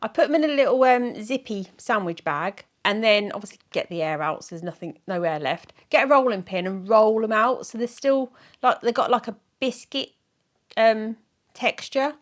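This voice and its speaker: A middle-aged woman with a croaky, sore-throated voice, chatting casually to camera in a rambling, giggly, self-mocking way. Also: fairly high pitch, moderate pace. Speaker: weight-loss vlogger.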